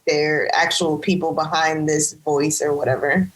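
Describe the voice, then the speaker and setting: A young woman with a deeper voice, co-hosting a podcast in loose, fond, reflective chat. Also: little pitch movement, unhurried, a little hiss or hum, low-quality recording. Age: young adult. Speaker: podcast co-host. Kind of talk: casual chat.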